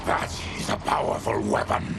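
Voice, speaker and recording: A man playing a resolute, afflicted nobleman warrior in a video game, speaking formally and deliberately. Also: noisy background, close to an everyday voice. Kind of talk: video game cutscene acting.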